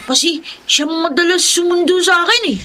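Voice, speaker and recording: Polite young schoolboy, high and childlike, cheerful and eager, for Filipino radio drama. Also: female voice, normal speed, heavy background noise. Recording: music under the dialogue.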